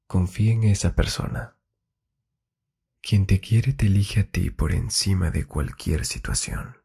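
A man with a very creaky, low voice speaks in a soft, intimate ASMR style. His delivery is slow and husky, drifting into whispers close to the microphone. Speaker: ASMR host. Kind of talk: intimate Spanish ASMR relationship advice. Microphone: close-up binaural microphone.

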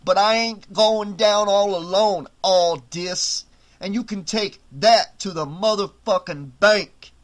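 A man's voice: high-pitched, slow pace, pitched above the performer's own voice. A man voicing a brutish, bullying hotel supervisor who snarls profane threats. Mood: threatening.

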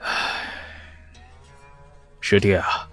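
A man voicing a powerful middle-aged swordsman in an audiobook, calm, cold and sighing, with a husky voice. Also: drawn-out words, dry recording, faint music behind. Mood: resigned, cold. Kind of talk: acted audiobook dialogue.

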